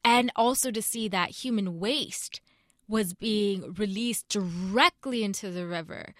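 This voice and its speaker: A young woman reporter answers questions in an earnest, explanatory way. Her voice sits at an ordinary middle pitch. The recording is dry and close, with no room around it. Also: a little slow, no echo. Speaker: correspondent. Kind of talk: news interview.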